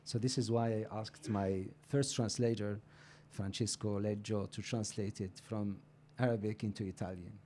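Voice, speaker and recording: A man's voice: fairly low pitch, fairly even intonation, normal speed, dark tone, a little hiss or hum. A middle-aged man speaking on a writers' panel with a handheld mic, hesitant and repeating words. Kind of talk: panel talk.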